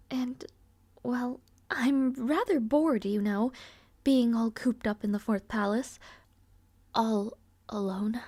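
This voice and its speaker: A woman plays a frail young princess in an audio roleplay, genteel and quietly resigned. Her voice is high, soft and breathy, sinking often toward a whisper. She speaks slowly and languidly with wry detachment, very close and dry. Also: no echo. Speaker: roleplay voice actress. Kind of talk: intimate audio roleplay acting.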